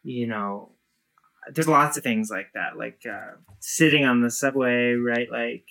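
Woman, 30s, low voice, hesitant, musing, casual chat. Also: measured pace, a bit bright, low-quality recording. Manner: hesitant, many fillers and restarts. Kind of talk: casual conversation.

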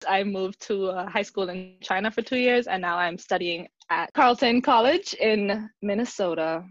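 A woman in her twenties from the Bahamas, co-hosting a podcast in a relaxed, casual way. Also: mid-range voice, moderate pace, slight background noise. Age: 20s. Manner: relaxed, laughing. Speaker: podcast co-host. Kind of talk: casual chat. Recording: dull, dry sound.

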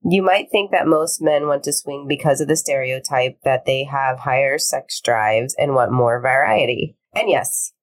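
A woman's voice: extremely low pitch, measured pace, hardly any pauses. A woman co-hosting a podcast in casual chat, frank and blunt, laughing often. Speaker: podcast co-host.

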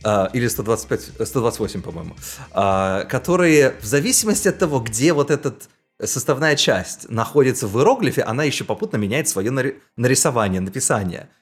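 A young man hosting a casual podcast with a husky, slightly breathy voice. His pitch rises and falls in a lively way as he explains things. Faint music plays underneath. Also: fast talker. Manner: animated, explaining. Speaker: podcast host. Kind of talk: casual chat.

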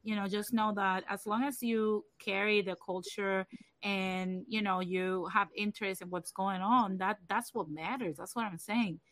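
A woman from Puerto Rico hosts a casual livestream chat. She talks in a loose, chatty way and leans on fillers. Her voice sits at a middle pitch, and the sound is dry and close. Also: fairly even intonation, measured pace, a bit bright, dry recording. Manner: chatty, many fillers like "you know". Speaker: livestream host.

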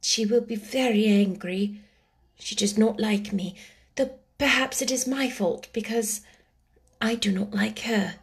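A woman's voice: mid-range voice, measured pace, lightly acted. A woman voicing an earnest young girl who speaks in a joyful, emotional little voice. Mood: relieved.

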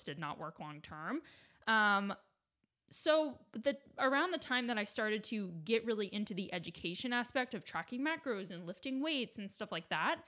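A woman's voice: medium pitch, fairly bright tone. A young woman hosting a casual solo podcast, chatty and full of "like" and "right?", sounding a little congested from a cold. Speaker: podcast host.

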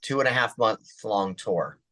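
A man's voice: fairly low pitch, expressive, moderate pace, sharp tone. An American man, a rapper, chatting casually as an interview guest. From United States. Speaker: musician guest, underground rapper.

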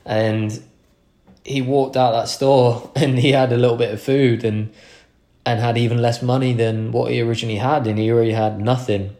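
A man's voice: mid-range voice, little pitch movement, moderate pace, warm tone. A young man hosting a podcast, talking through a casual motivational monologue in an earnest, rambling way with frequent restarts. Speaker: podcast host.

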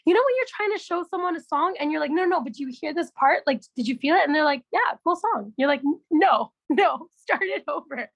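A young woman from Canada with a naturally raspy voice, a musician guest talking casually and with animation. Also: high voice, brisk, hardly any pauses, no echo. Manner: animated storytelling, laughs often, swears. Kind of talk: casual chat.

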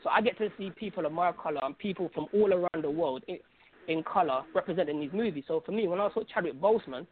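High-voiced man on a tinny call line. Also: fast talker. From Algeria, North Africa. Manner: emphatic, slangy, repeats phrases. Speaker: guest caller on a radio podcast. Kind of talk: casual opinionated chat. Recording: phone-like, narrow tinny call sound.

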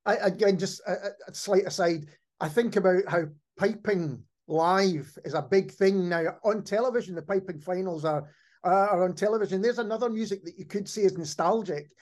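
Male podcast host, pretty high voice, chatty, thinking out loud as he goes. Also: moderate pace. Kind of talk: casual interview chat.

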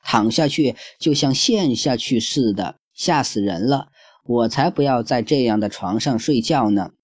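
A man's voice: mid-range voice, unhurried, dry recording, close to an everyday voice. A man voicing a spoiled, whiny little blonde girl who keeps complaining, in a storybook reading. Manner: complaining, petulant.

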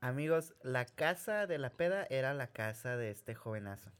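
A man's voice: mid-range voice, expressive, moderate pace, clean tone. A man hosting a casual podcast, teasing his guest and swearing freely. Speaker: podcast host.

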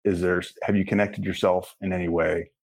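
A man's voice: sits low, little pitch movement, brisk, a little mellow. A man hosting a podcast interview in a casual, bantering way with loosely phrased questions.

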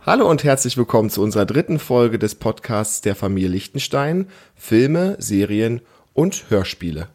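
A man's voice: medium pitch, very animated, moderate pace, hardly any pauses, a little mellow, soft background music. A man in his thirties or forties hosting a casual family podcast, chatting encouragingly and explaining things simply to a child. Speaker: podcast host.

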